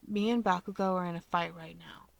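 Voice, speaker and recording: A woman's voice: on the low side, fairly even intonation, a little fast, gravelly, no room sound. A woman voicing a sulky, prim teenage boy in a story reading, in a petulant manner. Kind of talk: acted dialogue in story reading.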